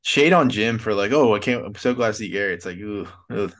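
Male American podcast sidekick, 30s, slightly low voice, casual banter, blunt asides into a close desk mic. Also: a little fast, fairly bright tone. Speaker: podcast co-host. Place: home room, on a video call. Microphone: close desk microphone on arm.

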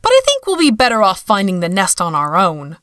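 A woman's voice: mid-range voice, huge pitch swings, brisk, fairly bright tone, dry recording, clean studio sound. A woman playing a feisty, sarcastic young girl camper in a fantasy audiobook, blunt, sassy and defiant.

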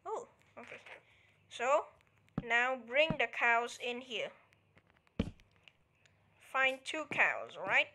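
A woman talks a viewer through a building tutorial at an unhurried pace. Her voice sits on the high side, and she counts out each item as she places it. A faint hiss of noise runs under the recording. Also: very animated, bright, forceful tone. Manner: counts steps aloud, checks in with "alright?". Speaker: tutorial creator. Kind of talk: casual game tutorial walkthrough.

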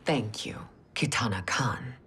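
A woman's voice: a bass voice, measured pace, fairly bright tone, whispered. A woman playing a haughty, scheming older empress in a video game, speaking in a formal, imperious and disdainful way. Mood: regal, courteous. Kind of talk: video game cutscene acting.

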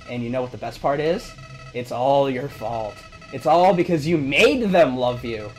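A woman's voice: rumbling low, moderate pace, soft background music, poor audio. A woman voicing a small, sneering male villain flower who gloats and threatens. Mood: gloating. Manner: gloating, menacing, cackling.

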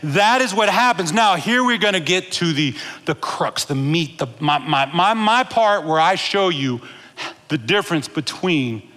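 A high-pitched, bright-toned man in his thirties preaches with lively gestures, calling out to his congregation. He speaks into a headset mic, and his voice rings out with the long reverb of a large church auditorium. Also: unhurried. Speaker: pastor. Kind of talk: sermon. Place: large church stage with blue lights.

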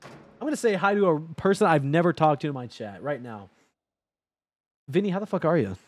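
A young man in his twenties streaming a horror game from home on a headset mic, joking loudly and swearing as he talks to chat. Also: fairly high pitch, fairly quick. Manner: loud, swearing, joking, animated. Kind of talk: casual gameplay commentary with chat. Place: home gaming room, indoors.

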